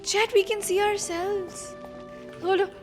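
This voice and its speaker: A woman's voice: extremely high pitch, steady tone, takes it slowly. A woman streaming a game, chatting casually and playfully with an informal manner. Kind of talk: casual livestream chat. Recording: game music behind the voice.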